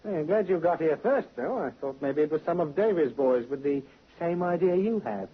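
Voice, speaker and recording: An actor playing a sly, ingratiating criminal suspect in a vintage radio drama, heard through a muffled old recording. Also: male voice, a little high, lively intonation, normal speed, husky. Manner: ingratiating, mocking. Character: sly male criminal suspect, smooth-talking.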